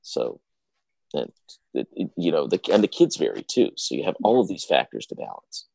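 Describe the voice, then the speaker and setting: A man's voice: rumbling low, big pitch swings, normal speed, gravelly, a little mellow. A man on a live panel, a father, talking in a reflective way about his own family's experience with languages. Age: adult parent.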